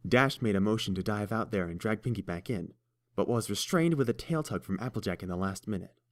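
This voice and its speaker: A man reads the story aloud in a steady, measured way, his voice sitting a little low. He narrates evenly, handing off to the characters' voices. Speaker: audiobook narrator. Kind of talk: scripted fan fiction narration.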